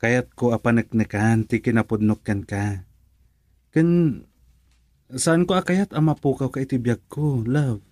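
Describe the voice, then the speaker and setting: A man's voice: mid-range voice, expressive, measured pace, long pauses, a little mellow, no echo. A man with an Ilocano accent playing an earnest, persistent young boyfriend in a romantic radio drama. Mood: earnest, persuading.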